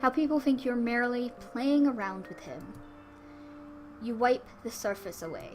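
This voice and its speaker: A woman with a fairly high voice hosts a let's play of a visual novel. She reads the narration aloud and slips into casual, chatty asides. Music plays softly behind her. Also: animated, normal speed. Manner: informal asides between read passages.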